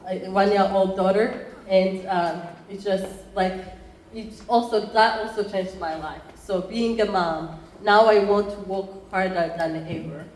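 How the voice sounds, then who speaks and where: A woman in her thirties with a Rwandan accent addresses a conference room in a relaxed, conversational way. She often pauses and restarts her sentences. The room is noticeably roomy, with faint ambience behind her. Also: mid-range voice, a little hiss or hum. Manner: conversational, with frequent fillers and restarts. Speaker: entrepreneurship program chair. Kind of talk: conference presentation.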